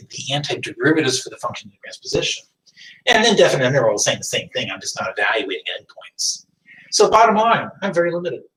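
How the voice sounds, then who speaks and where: A man's voice: a little high, a bit bright. Man lecturing math in an echoey room. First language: French. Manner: thinks aloud, restarts and rephrases. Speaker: math teacher. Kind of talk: recorded classroom lecture. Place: classroom. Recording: echoey room, distant mic.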